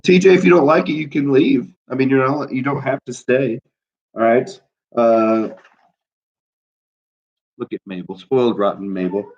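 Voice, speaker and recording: Brief: male teacher, mid-pitched, stuffy nose, rambling live-stream chat from home, dull lo-fi sound. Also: a little mellow. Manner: chatty, rambling, full of fillers. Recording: dull lo-fi recording.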